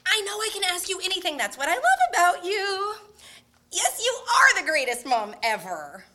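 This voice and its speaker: A woman's voice: very high-pitched, big pitch swings, moderate pace, sharp tone, full character voice. A woman playing a flustered, forgetful mother, exasperated yet placating, in an amateur Christmas radio skit. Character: flustered, forgetful mother on a family road trip. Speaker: radio play actor.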